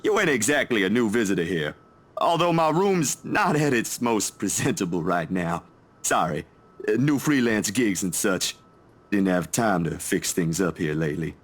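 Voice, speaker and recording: A friendly young guy from a visual novel with very lively, swooping intonation. He talks casually and rambles, stammering when flustered but always caring. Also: on the low side, moderate pace. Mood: warm, joking.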